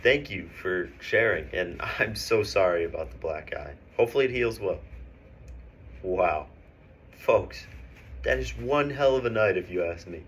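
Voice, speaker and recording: A man's voice: low voice, expressive, unhurried. A man playing a polite, sympathetic newsreel host with a projected, presentational delivery in a mock news show. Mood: upbeat. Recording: faint background noise.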